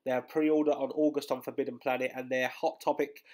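A man's voice: medium pitch, level tone, brisk, dark tone. A young man with a congested, under-the-weather voice hosting a collectibles news vlog, chatty and rambling with many fillers. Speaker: collectibles channel host. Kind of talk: casual news vlog voice-over.

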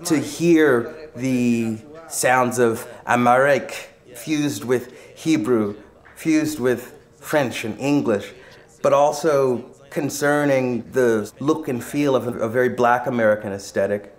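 A man narrating a documentary in a measured, reflective and articulate way. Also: mid-range voice. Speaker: visual artist presenting the film.

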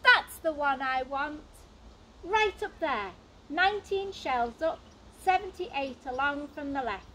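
A woman voicing an eager, imaginative, stubborn little boy in a storybook, with emphatic, drawn-out words. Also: high voice, animated, fairly bright tone, a little hiss or hum, pitched above the performer's own voice, heavily acted, poor audio. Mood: excited.

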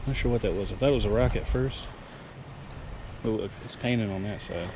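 A man's voice: on the low side, brisk. Man chatting over noisy outdoor ambience. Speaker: magnet fishing video co-host. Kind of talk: casual outdoor vlog chat. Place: outdoors by the water.

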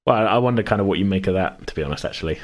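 A man with a low voice hosts an informal podcast. He talks off the cuff, often circling round a phrase before settling on it. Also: expressive, rapid-fire. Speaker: podcast host and game master. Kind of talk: casual unscripted podcast chat.

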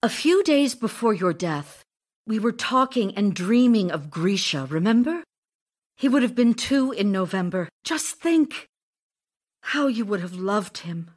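Slow-speaking, tender grieving widow. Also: female voice, mid-range voice. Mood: distraught, longing. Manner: tender, wistful, emotionally expressive, pausing delivery. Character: grieving widowed actress, tender, longing, distraught. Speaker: actor in an audio drama. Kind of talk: intimate audio-drama monologue of letters and diary.

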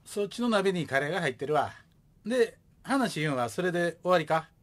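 A man acts as a middle-aged showbiz dad in a comic radio drama. He has a Kansai accent and blunt, joking delivery with animated pitch swings. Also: fairly quick. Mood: easygoing. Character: gruff, joking widowed showbiz father.

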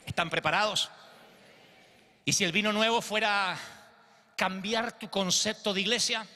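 A man's voice: high-pitched, fairly even intonation, unhurried, sharp tone. A man, preaching a theatrical stage sermon into a handheld mic and acting out the quotes. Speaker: pastor. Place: large glass church auditorium. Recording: long hall reverb.

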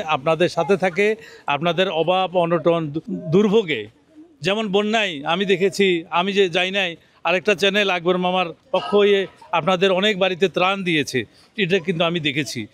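A man's voice: high-pitched, moderate pace, fairly bright tone. A middle-aged man reporting from an outdoor livestock market into a handheld mic, persuasively addressing viewers. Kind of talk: on-location promotional interview. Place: outdoor livestock market under a bamboo shed.

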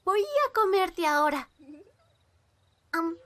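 A woman's voice: very high voice, very animated, takes it slowly, bright, forceful tone, slight background noise, full character voice. A woman voicing a playful, hungry, girlish spider monster in a cartoon dub, with Latin American Spanish. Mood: eerie, playful.

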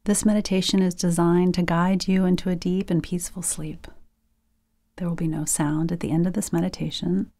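A woman leading a guided sleep meditation softly and gently, nearly whispering, in short phrases with pauses. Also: on the low side, level tone. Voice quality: breathy, soft, near-whispered.

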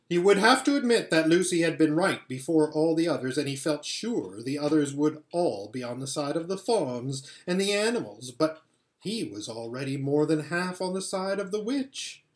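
A middle-aged man reading a children's book aloud in expressive narration. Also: fairly high pitch. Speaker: story reader. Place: furnished living room at home, indoors. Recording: echoey home room.

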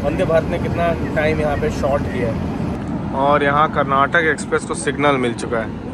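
A man's voice: on the high side, fairly even intonation, fairly quick. A young man in casual travel-vlog talk, chatting straight to his viewers while filming on a phone. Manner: chatty, addresses viewers directly, drops to a hush at night in the coach. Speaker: train travel vlogger. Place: railway platform at night, then inside a sleeper coach. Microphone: phone camera held at arm's length. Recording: clear outdoor station noise behind.

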